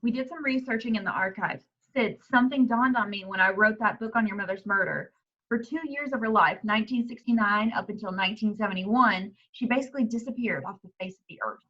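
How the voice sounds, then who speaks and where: A woman's voice: mid-range voice, brisk, fairly bright tone, lightly acted. A woman playing an assertive investigative journalist in a horror script read at home. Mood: urgent, intrigued. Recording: dull home video-call audio.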